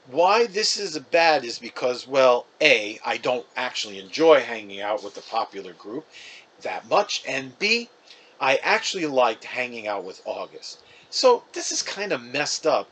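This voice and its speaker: A man's voice: medium pitch, a bit bright, slight background noise, rough recording. A man reading a storybook as a chatty, wounded, defensive fifth-grade boy, casual and emphatic.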